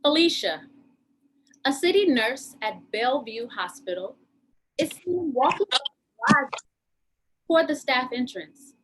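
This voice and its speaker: A woman's voice: sits high, big pitch swings, a little slow, pauses often, a bit bright. A woman reading dramatic scripted narration for a stage piece. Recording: noisy room ambience.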